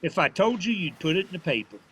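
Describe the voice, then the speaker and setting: A man's voice: high-pitched, very animated, fast, a bit bright. An actor playing a stubborn, proud, plainspoken old father from a small town, folksy and prickly, in an audio drama. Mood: wry, prickly. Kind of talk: naturalistic audio drama acting at a live reading. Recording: faint noise of live stage performance.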